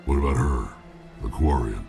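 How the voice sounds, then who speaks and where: A man playing a gruff, blunt alien mercenary in a video game, with a gravelly, scornful delivery. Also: rumbling low, moderate pace, music in the background. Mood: questioning. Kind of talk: video game cutscene acting.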